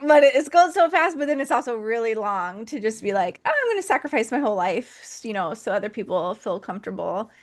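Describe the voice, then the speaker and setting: A woman's voice: on the high side, big pitch swings, fast, hardly any pauses. A woman hosting a podcast interview, encouraging and upbeat as she asks questions. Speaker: podcast host. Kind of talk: casual interview talk.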